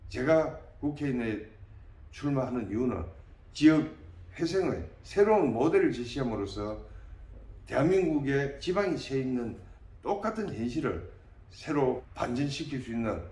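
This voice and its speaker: A man in his late sixties answering interview questions as a political candidate, in an earnest, deliberate, halting way. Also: medium pitch, big pitch swings, darkish tone. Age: late 60s. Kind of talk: interview answers, campaign pitch. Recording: roomy, distant mic, faint background noise.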